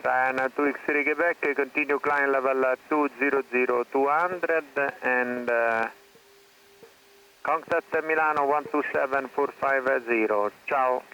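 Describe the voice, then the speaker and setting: A man working as an air traffic controller, giving clipped, halting instructions in aviation phraseology over the radio. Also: medium pitch, fairly even intonation, bright, forceful tone. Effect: aircraft radio. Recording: noisy air-band radio over aircraft hum. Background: aircraft cockpit drone.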